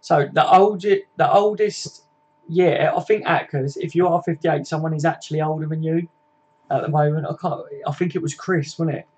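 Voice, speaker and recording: Husky young Englishman chatting on a stream. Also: fairly high pitch, fairly even intonation, moderate pace, a little mellow. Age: 20s. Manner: matey and chatty, laughs easily. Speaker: live stream host. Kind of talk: casual live stream chat reading viewer comments.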